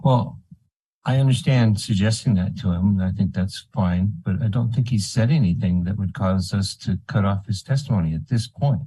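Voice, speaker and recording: A man with a husky voice, a board member formally raising an objection in a meeting. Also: fairly low pitch, moderate pace, mellow, dry recording, poor audio.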